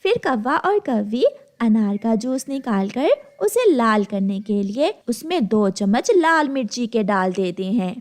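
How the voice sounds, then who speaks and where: A woman's voice: fairly high pitch, big pitch swings, a little slow, a little mellow. A woman reading scripted narration for a children's cartoon story.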